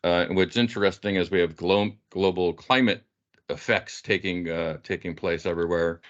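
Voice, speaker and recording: Deep-voiced man moderating. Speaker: panel moderator. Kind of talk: casual unscripted moderation. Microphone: video call. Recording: dull video call audio.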